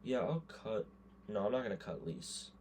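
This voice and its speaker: Male gaming creator, hoarse, deeper side, loose offhand thinking-aloud commentary. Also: animated, moderate pace, darkish tone, slight background noise. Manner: thinks aloud, muttering verdicts on players. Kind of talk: casual gameplay commentary.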